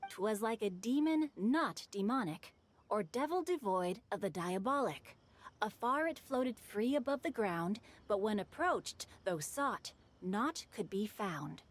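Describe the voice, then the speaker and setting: A woman's voice: mid-range voice, animated, measured pace. A woman playing a refined, bookish teenage boy in an anime game, composed and articulate with a literary manner. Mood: poetic, measured.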